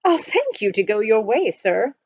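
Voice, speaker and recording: A woman's voice: fairly high pitch, sing-song, normal speed, no echo, dull audio. A woman voicing a proper, unflappable male English butler with formal, precise, reassuring speech in a storybook. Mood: firm.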